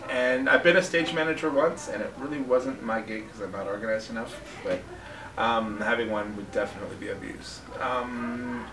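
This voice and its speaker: A man's voice: medium pitch, a bit bright. A man who directs theatre, chatting casually and self-deprecatingly in an unscripted interview. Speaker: first-time theatre director being interviewed. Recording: roomy echo, distant microphone.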